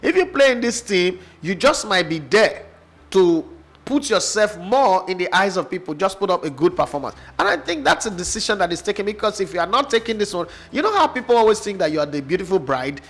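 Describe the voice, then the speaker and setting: Nigerian male sports host, slightly high voice, heated and argumentative, lots of rhetorical questions. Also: lively intonation, fairly quick, fairly bright tone. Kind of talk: opinionated football analysis chat.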